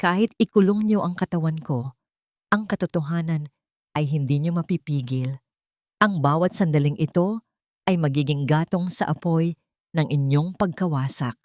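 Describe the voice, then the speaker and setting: Defiant low-voiced housemaid, played by a woman narrator. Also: normal speed, a little mellow, no room sound, studio quality, lightly acted. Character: defiant imprisoned young housemaid, determined and vengeful.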